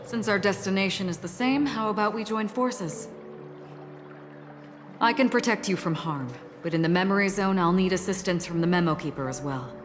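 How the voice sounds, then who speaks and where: An actress playing a calm, formal, protective swordswoman in a video game, her voice trailing a long reverb. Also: mid-range voice, moderate pace, over music, natural voice. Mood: calm, guarded. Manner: formal, level-headed. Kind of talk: scripted video game voice acting.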